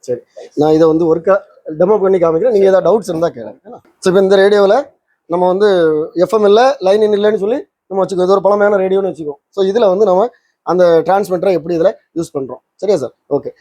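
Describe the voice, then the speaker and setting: A man's voice: sits high, fast, mellow, no room sound. A man hosting a casual product demonstration, explaining things and mixing in English words. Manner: explanatory, mixes English words into his talk. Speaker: channel host and electronics maker demonstrating a kit.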